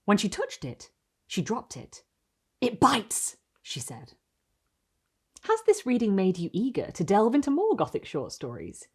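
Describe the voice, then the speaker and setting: A woman hosting and reading literary stories aloud in an expressive, theatrical style with deliberate phrasing. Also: mid-range voice, breathy edge. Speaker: host and reader of a literary video series. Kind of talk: dramatic storytelling, scripted narration.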